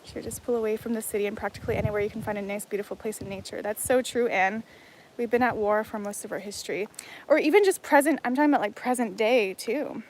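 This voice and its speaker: A woman's voice: medium pitch, fast, darkish tone, slight background noise, low-quality recording. A woman from Hawaii on a casual livestream, reading her chat aloud and rambling with lots of fillers and restarts while out on a walk. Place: outdoors on a jungle walk.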